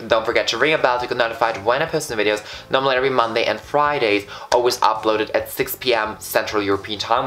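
A man's voice: medium pitch, bright, forceful tone. A young man in his twenties from Austria with an Austrian German accent, reviewing lipsticks in a camp, gushing vlog style. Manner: camp, flamboyant, gushing exclamations. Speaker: beauty vlogger. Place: bright indoor room in front of a patterned backdrop.

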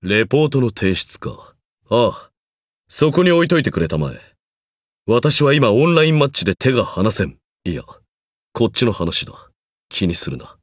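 A man's voice: medium pitch, big pitch swings, moderate pace, dry recording. Game role: stern male magecraft lecturer, formal, condescending, grudgingly encouraging, very varied intonation, dry close studio sound. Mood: curt, preoccupied. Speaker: voice actor in a mobile game. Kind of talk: scripted game character lines.

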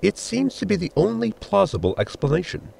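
An actor playing a dry, dutiful ship robot servant with a formal, precise, deadpan manner in a sci-fi comedy audio drama. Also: male voice, sits high, a little fast, gravelly, breathy edge, full character voice. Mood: precise, deferential.

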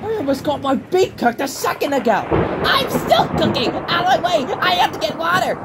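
High, animated woman acting out cartoon characters. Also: moderate pace, heavy background noise. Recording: voice under loud background music.

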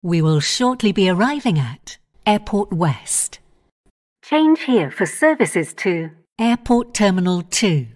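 Woman, onboard train announcer; slow, even delivery with a lively rise and fall, station-by-station phrasing. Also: medium pitch. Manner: measured, clipped station-by-station phrasing.

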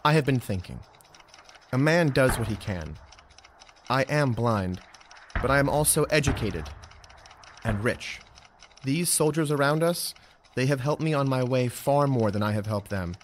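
A man's voice: mid-range voice, animated, unhurried, pauses often, a little hiss or hum, lightly acted. An actor playing an earnest, courteous young Roman nobleman and officer in an audio drama. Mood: composed.

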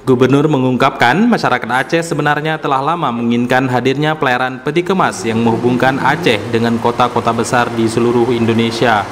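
A man reads a scripted news voice-over in a measured, formal manner at a middle pitch. Steady background noise runs clearly under his voice. His delivery stays even and businesslike throughout. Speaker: news narrator.

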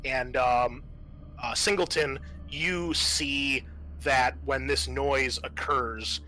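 A man's voice: medium pitch, steady tone, slow, sharp tone, slight background noise, poor audio. A man narrating a tabletop roleplaying game as game master, describing scenes in an informal, improvised, hesitant way.

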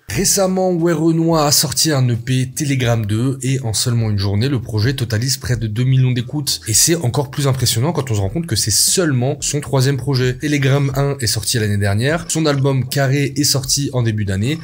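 A young man with a husky voice, narrating a playful rap commentary video from a small room at home. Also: medium pitch. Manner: playful, jokey asides, quick delivery. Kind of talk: scripted video essay narration.

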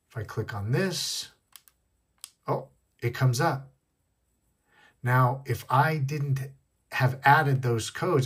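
A man with a slightly husky voice, giving a casual hands-on tech tutorial, explaining step by step and repeating key points. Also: mid-range voice. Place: indoors at a wooden desk.

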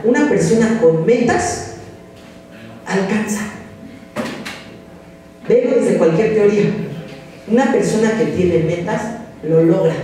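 A man's voice: high-pitched, warm tone, slight background noise. A man in his thirties with a Mexican accent, a psychology lecturer giving a direct, exhorting conference talk to students at a podium microphone. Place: large lecture hall. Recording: roomy echoing hall from a distance.

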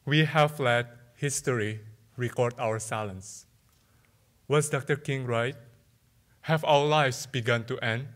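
A young man from Indonesia, a student, giving a measured, emphatic competition speech at a lectern microphone in a large theatre. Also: mid-range voice, a bit bright. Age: young adult. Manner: measured, emphatic oratory with pauses. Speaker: student orator.

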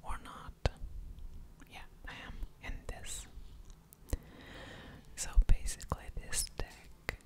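Whispering woman, slow ASMR game commentary. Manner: soft whispering, unhurried, with mouth sounds. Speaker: host of an ASMR gaming channel.

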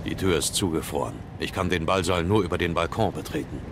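A man's voice: deep voice, quick pace, background noise, natural voice. A man playing a grim, terse, brooding masked hero in a German audio drama. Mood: grim, focused. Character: grim, determined masked male vigilante hero. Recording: loud music behind the voice.

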